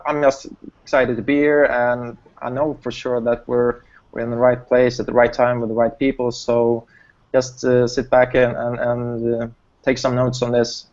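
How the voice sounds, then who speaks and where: A man from Sweden speaks in a steady, unvaried voice on a video call, with frequent fillers. The audio is dull and lo-fi. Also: mid-range voice. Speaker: panel guest. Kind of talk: casual video call talk.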